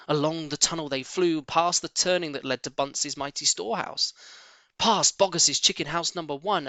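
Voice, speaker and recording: A man reading a children's story aloud as an animated audiobook narrator. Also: fairly high pitch, no room sound. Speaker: audiobook reader.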